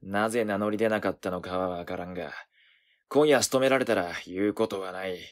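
An actor playing a gruff, hot-tempered young male werewolf in a voice drama, with rough, cocky slangy speech. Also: low-pitched, a little fast, lightly acted. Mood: scheming. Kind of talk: Japanese voice drama acting.

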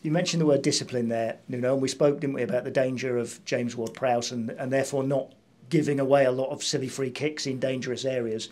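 A man's voice: medium pitch, steady tone, fast talker, few pauses, a little mellow. A man doing a conversational post-match sports interview, chatty and fond of tag questions. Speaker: club interviewer.

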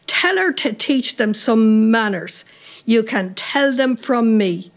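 A woman's voice: a little high, slow. A woman voicing a cantankerous old Irish woman in a story, indignant and scolding in an Irish accent. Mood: furious, scornful.

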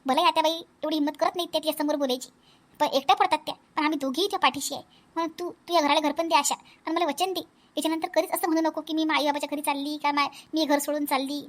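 A protective elder sister-in-law acted by a woman with a very high, rapid voice. She is outspoken and emphatic, repeating her points in a Vidarbha Marathi accent, as in a family cartoon. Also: a bit bright. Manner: emphatic, repetitive, advising. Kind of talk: animated family comedy acting.

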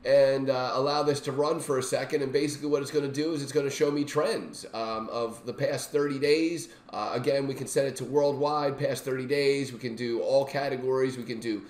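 A middle-aged man with a voice worn by illness gives an informal, enthusiastic software walkthrough as he shares his screen. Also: mid-range voice, steady tone, brisk, hardly any pauses. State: sick, recovering from a lost voice. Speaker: software reviewer and demonstrator. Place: small indoor home room. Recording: roomy, echoey home-room sound.

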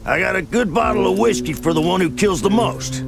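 An actor playing a hearty, feisty older uncle and ranch owner in a Western video game. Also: male voice, sits high, lively intonation, a little fast, vocal fry, over music, heavily acted. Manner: hearty, rallying, colourful. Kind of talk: dramatic video game cutscene acting.

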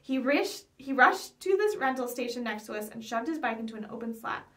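High-voiced young woman reading a children's story. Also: expressive, fairly quick, fairly bright tone. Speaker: librarian reading aloud. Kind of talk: read-aloud storytelling of a children's chapter book. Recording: voice picked up from some distance.

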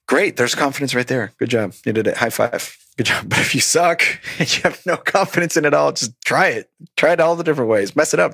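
Animated man laughing through stories. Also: mid-range voice, husky, no room sound, clean studio sound. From Colombia. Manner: animated, laughs often, acts out quotes. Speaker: podcast guest, stand-up comedian. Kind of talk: casual interview storytelling.

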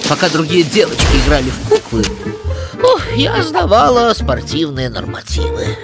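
A woman's voice: low-pitched, wildly swooping pitch, moderate pace, vocal fry, loud music behind the voice, heavily acted. Theatrical older female owl. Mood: nostalgic, wry. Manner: theatrical, sighing, chatty. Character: hearty, nostalgic older female cartoon owl, former athlete.

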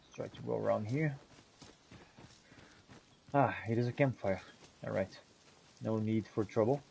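Mellow man roleplaying through a fantasy game. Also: lowish voice, measured pace, gravelly, a little hiss or hum. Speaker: gamer roleplaying a character in a video game. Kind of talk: in-character roleplay narration of fantasy gameplay.

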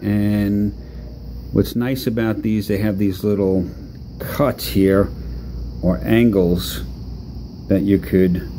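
A man talking through a hands-on repair demonstration in a relaxed, halting way with many fillers, recorded off-camera at an indoor workbench. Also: fairly low pitch, slight background noise. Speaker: product demonstrator.

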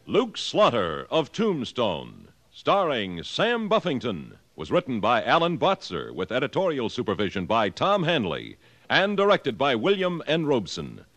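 Casting: male 1950s-style announcer, mid pitch, very animated formal delivery, old radio sound. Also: a little slow. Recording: vintage network radio recording.

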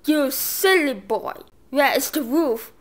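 Angry villagers in a puppet show scold a foolish boy, voiced by a woman in a raised, higher voice, speaking slowly and indignantly. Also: lively intonation, noisy background.

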